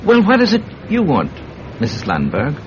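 An actor playing a suave, sinister Soviet spy in a radio drama, with a cultured English accent and a polite, insinuating manner. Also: male voice, a little high, wildly swooping pitch, moderate pace. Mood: insinuating, menacing. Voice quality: cultured, well-educated voice. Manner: polite, insinuating, quietly menacing. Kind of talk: old-time radio drama acting. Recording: dull, noisy old radio recording.

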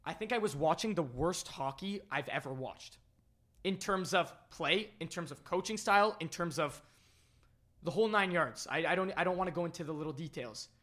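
A man co-hosting a live sports podcast, losing his voice after yelling, animated and full of restarts. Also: fairly high pitch. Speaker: podcast co-host. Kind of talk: excited live sports chat.

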